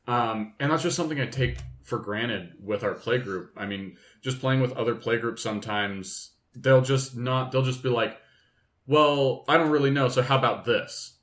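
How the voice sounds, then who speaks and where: A man talks as a podcast co-host in loose, informal group chat. His voice sits at an average pitch, and his speech is slangy, with swearing thrown in. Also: moderate pace, a bit bright.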